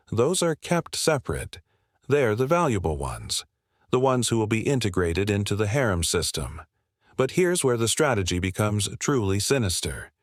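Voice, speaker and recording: A man narrating a scripted history documentary in a grave, measured way, delivering short dramatic phrases. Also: on the low side, dry recording, clean studio sound.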